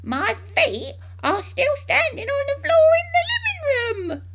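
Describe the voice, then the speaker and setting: A boastful old grandmother from a children's storybook. She cackles and shouts in a high, shrill voice that swoops wildly as she crows. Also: wildly swooping pitch, unhurried, heavily acted. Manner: shouting, crowing.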